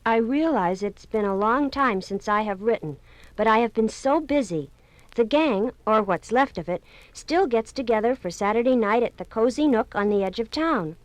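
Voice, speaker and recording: Casting: actress as young woman writing a hometown breakup letter, slightly high voice, dry sound, halting and sorry. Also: expressive, no echo, lightly acted. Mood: apologetic. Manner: hesitant, apologetic reading. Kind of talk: 1950s radio drama acting.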